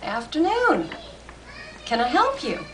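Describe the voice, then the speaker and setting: A woman voicing a courteous old gentleman who sells antiques, with measured, polite sales patter in an audiobook reading. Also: sits high, wildly swooping pitch, voice pushed up, heavily acted. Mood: courteous, pitching. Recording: distant mic, faint room noise.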